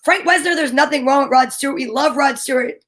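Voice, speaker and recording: A woman's voice: sits high, fairly quick, a crowd in the background. A woman co-host in casual, rambling, joking conversation on a livestream. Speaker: show co-host. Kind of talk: casual chat.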